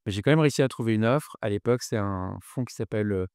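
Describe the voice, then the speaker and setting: Man, thirties, podcast guest; low, clean voice, conversational with halting repeats, close dry sound. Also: a little mellow, smooth, no echo. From New Caledonia. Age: 30s. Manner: hesitant, frequent fillers and repeated words. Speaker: podcast guest, finance executive. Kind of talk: conversational interview answers.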